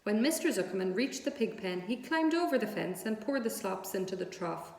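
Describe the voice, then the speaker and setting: Lady narrating a kids book, mid pitched, really echoey with long reverb. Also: lively intonation, fairly quick, creaky, darkish tone. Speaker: story reader. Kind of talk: children's read-aloud narration.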